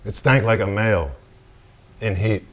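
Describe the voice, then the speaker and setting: Low-voiced, gruff, brooding male painter, acted by a man. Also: unhurried, natural voice. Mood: terse. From United States (the figure, Wyoming). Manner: blunt, terse, sardonic. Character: Jackson Pollock, gruff, crude, brooding American male painter. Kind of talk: theatrical staged reading.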